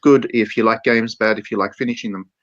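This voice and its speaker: A man's voice: fairly low pitch, steady tone, fast, fairly bright tone, no room sound. Casual male podcast host, dull lo-fi sound. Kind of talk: casual video game podcast chat.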